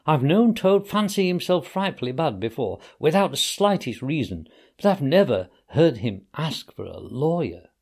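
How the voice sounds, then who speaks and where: A man voicing a brisk, hearty male water rat who talks bluntly to his friend. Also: a little high, very animated, a little mellow, voice pushed up. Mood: reasoning. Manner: hearty, bluff.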